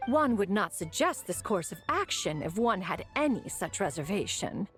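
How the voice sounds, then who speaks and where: A woman's voice: medium pitch, big pitch swings, normal speed, soft background music, lightly acted. A woman voicing a proud, aloof immortal adept in a video game, speaking formally and haughtily. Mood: composed. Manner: formal, haughty, refers to self as one. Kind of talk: game voice acting.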